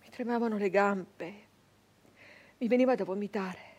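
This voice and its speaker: An actress playing a grief-stricken 19th-century Italian peasant woman turned brigand, in an intense, halting theatrical monologue with repeated words. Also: medium pitch, a little mellow, slightly rough, close to an everyday voice. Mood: shaken, grieving. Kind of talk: dramatic theatrical monologue, radio drama.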